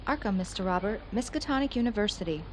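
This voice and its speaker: A woman's voice: mid-range voice, expressive, fast talker, a little hiss or hum, lightly acted. A woman playing a teasing, friendly young university student in a radio play, wry and playful.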